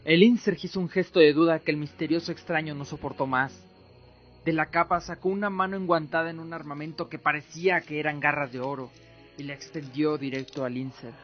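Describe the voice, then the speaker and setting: A man's voice: fairly high pitch, steady tone, brisk. Male narrator for fantasy radio drama, dramatic scripted storytelling, a little muffled, music behind. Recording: dull lo-fi with music underneath.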